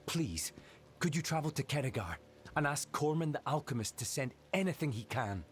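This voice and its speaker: A man's voice: a little high, lively intonation, moderate pace, a little hiss or hum, lightly acted. A man playing a worried, earnest servant in a fantasy video game, speaking with urgency. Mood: urgent, pleading. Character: worried, earnest manor servant pleading for aid. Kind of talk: dramatic video game acting.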